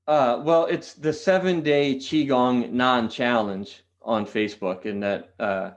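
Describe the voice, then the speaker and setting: A man's voice: mid-range voice, slow. A man from San Diego, a qigong teacher and podcast guest, explaining his practice earnestly and often restarting his phrases. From San Diego, California. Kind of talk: podcast interview answers.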